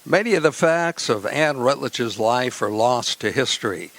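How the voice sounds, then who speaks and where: A male radio announcer with a mid-range voice reads from a script. His delivery is formal and measured, suited to credits and historical narration. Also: mid-range voice, fairly bright tone, low-quality recording.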